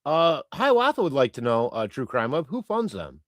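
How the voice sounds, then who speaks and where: Chatty man hosting a livestream, lively pitch. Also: normal speed, no room sound. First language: Croatian. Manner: rambling, chatty, many fillers and restarts. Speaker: livestream host reading chat. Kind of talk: casual live-stream chat.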